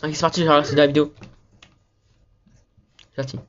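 A man's voice: fairly high pitch, flat delivery, lo-fi sound, background noise. A man making a gaming video, chatting casually in a slangy, rambling way, with some phlegm in his throat. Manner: slangy, rambling, talks fast. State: says he is sick, phlegm in throat. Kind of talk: casual gaming chat with an upbeat video intro.

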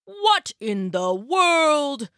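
Storybook role: simple old farmer husband, slow and wondering, played by a woman, bright, close dry sound. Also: mid-range voice, lively intonation, no room sound. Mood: astonished. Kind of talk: children's storybook character voice.

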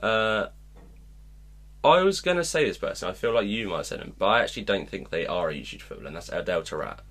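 A man's voice: low voice, very animated, fast talker, a bit bright. A man co-hosting a casual podcast, rambling through football stories with lots of filler words. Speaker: podcast co-host.

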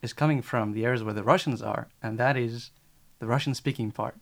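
A man's voice: mid-range voice, a little fast, creaky. A man speaking on a discussion panel in an earnest, insistent way, repeating his phrases for emphasis. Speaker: panel guest.

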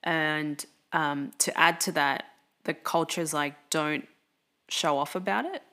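Female co-host, lower pitch, thoughtful and conversational. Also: slow pace, bright, forceful tone. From Australia. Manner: thoughtful, reflective, filler-heavy. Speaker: podcast co-host. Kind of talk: casual conversation.

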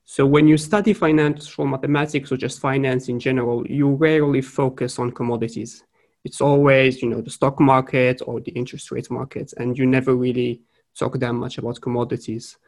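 A young man from Morocco, talking as a podcast guest in an unscripted way, pausing to search for words. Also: medium pitch, little pitch movement, darkish tone. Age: young adult. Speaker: podcast guest, trading graduate. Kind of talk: casual podcast interview.

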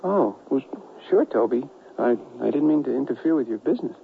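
A man's voice: mid-range voice, animated, a little fast, creaky. An actor playing an eager, effusive, educated newspaperman in a radio western, gushing and cultivated. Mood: apologetic. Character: eager, talkative, educated newspaperman, a friendly gossip. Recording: tinny, slightly noisy vintage radio drama.

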